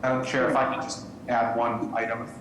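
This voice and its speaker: Brief: formal man, quick and monotone, echoey line. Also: medium pitch, slight background noise. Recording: echoey call audio.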